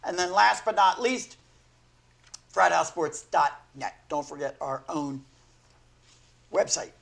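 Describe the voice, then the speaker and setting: An older man with a hoarse, failing voice hosting a sports talk show from a small studio desk, rambling and correcting himself as he goes. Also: fairly high pitch, lively intonation, slow, fairly bright tone. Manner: rambling, self-correcting, chuckling. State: hoarse, losing his voice.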